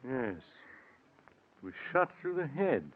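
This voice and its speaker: A man playing a steady, grave doctor in a 1940s radio drama, measured and reassuring. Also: mid-range voice. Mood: grim. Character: steady, grave male doctor leading a night search. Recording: muffled 1940s radio recording.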